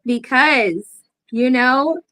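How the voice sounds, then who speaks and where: A woman's voice: high-pitched, very slow, pushed and bright. A woman panelist bantering casually and jokingly with the others.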